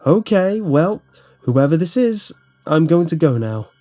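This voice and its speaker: A wary young woman voiced by a male narrator in a horror story, amused and dismissive in a casual way. The pitch is lifted a little, over faint music. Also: sing-song, measured pace, dark tone. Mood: amused, skeptical.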